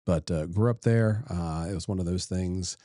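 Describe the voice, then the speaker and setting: A middle-aged man from Delaware talks as an interview guest in an easygoing, folksy manner. His voice is very low and mellow. The recording is close and dry. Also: dry recording. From Delaware, USA. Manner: easygoing, folksy, unhurried storytelling. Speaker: state senator, guest. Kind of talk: casual interview.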